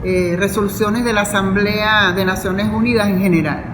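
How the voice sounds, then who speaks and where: Female Venezuelan academic, earnest formal panel delivery, mid pitch, reverberant hall, clearly noisy recording. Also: steady tone, normal speed. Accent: Venezuelan Spanish. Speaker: university professor and academic vice-rector. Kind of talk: panel speech. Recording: echoey hall with loud background noise.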